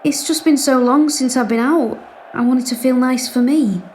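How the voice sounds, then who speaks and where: A timid wife and mother played by a woman in an audio drama, with a high voice. She is hesitant, apologetic and soothing, with faint noise behind her. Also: normal speed, a little mellow, natural voice. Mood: anxious, placating. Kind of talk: naturalistic audio drama acting.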